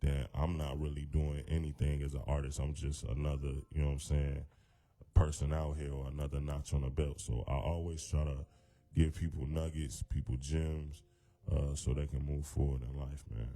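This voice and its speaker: Very deep-voiced laid-back rapper. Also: male voice, level tone, normal speed, warm tone. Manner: laid-back, loose, many fillers. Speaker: hip-hop artist, guest. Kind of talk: casual radio interview. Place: radio studio.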